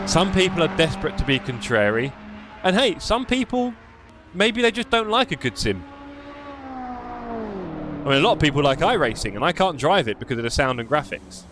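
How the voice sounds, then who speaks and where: A man with a London accent chatting and joking with his live stream chat while playing a racing game. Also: fairly high pitch. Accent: London English. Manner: chatty, jokey, reads chat aloud.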